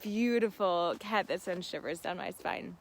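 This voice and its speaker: A woman's voice: lowish voice, unhurried. A woman hosting a casual live question-and-answer stream, talking to viewers in an effusive, gushing, giggly manner. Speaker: host of a live seed-farm Q and A. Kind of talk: casual livestream chat with viewers.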